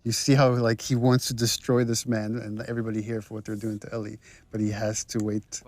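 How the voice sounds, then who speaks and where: A man, a game director, explaining his creative choices in a thoughtful, measured commentary conversation. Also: lowish voice, little pitch movement, darkish tone.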